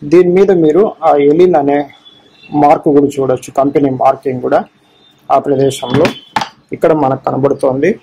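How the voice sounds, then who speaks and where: A middle-aged or older man gives an informal repair demonstration, explaining in short, halting phrases and repeating himself. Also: mid-range voice, fairly even intonation, warm tone, a little hiss or hum, rough recording. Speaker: electronics repair technician and presenter.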